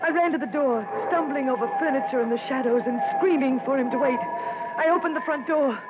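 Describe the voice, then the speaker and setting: A woman's voice: high voice, a little fast, over loud music, close to an everyday voice. An actress playing an anxious, determined young woman, a murdered doctor's daughter, in dramatic, breathless old-time radio horror style. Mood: frightened narrating. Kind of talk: 1940s radio horror acting. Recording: noisy, muffled old radio recording.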